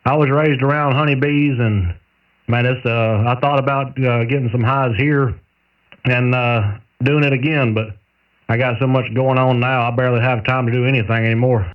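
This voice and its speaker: A man with a Southern US accent chatting casually over two-way radio, in a folksy, unhurried storytelling way. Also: medium pitch, steady tone. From southern United States. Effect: two-way radio transmission. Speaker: amateur radio operator, radio technician. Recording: narrow tinny single-sideband CB radio, distant.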